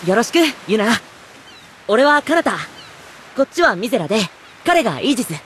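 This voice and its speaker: A teenage boy hero from an anime game, expressive and lively in intonation. He sounds earnest, naive and gently polite, with some faint hiss in the recording. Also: fairly high pitch, brisk. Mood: sincere, friendly. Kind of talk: Japanese anime game voice acting.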